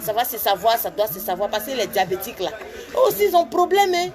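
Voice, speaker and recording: A woman, talking to camera from an office with a cold, preaching and laughing in loud, lively street talk. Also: on the high side, quick pace, over music. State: has a cold. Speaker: vlogger.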